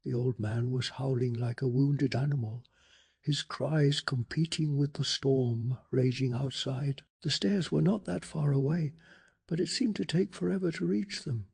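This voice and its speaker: Soft, husky male ASMR storyteller. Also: medium pitch, a little slow. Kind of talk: soft-spoken ASMR bedtime story narration.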